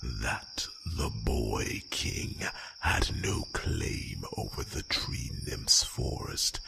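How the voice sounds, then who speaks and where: A man voicing an ancient, courteous, proud dragon in a fantasy ASMR roleplay, speaking formally in a gravelly, breathy near-whisper with rumbling hums. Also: steady tone, over music, heavily acted. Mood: haughty, grave. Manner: slow, formal, drawn-out phrasing with rumbling hums. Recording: noisy track with loud nature ambience.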